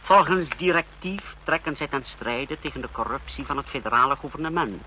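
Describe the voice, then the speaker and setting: A man's voice: medium pitch, brisk, bright, forceful tone, poor audio. An actor playing a zealous but deferential intelligence chief in an old radio play, formal and emphatic in his reporting. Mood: eager, emphatic. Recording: old radio recording with hiss.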